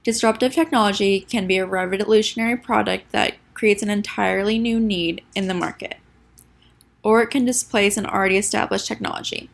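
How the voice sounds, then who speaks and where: A woman's voice: medium pitch, creaky. A sick young woman with a nasal, stuffy voice, reading her class presentation from a script and stumbling now and then. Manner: reads a prepared script with small stumbles and restarts. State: sick, congested. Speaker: student presenter.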